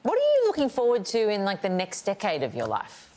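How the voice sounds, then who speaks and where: Woman host on a TV panel, lively sing-song delivery. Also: mid-range voice, a little fast, background noise. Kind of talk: TV interview questions.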